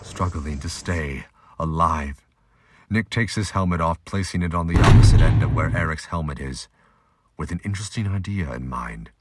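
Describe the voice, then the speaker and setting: A man's voice: very deep voice, moderate pace, very noisy. A man narrating an action audio drama in a dramatic scripted style.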